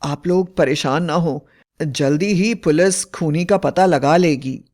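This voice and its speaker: Man playing a high-pitched, stern, slow-spoken village hero. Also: darkish tone. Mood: firm, reassuring. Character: stern male hero and exorcist in village horror tale. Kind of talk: animated Hindi horror fairy tale voice acting.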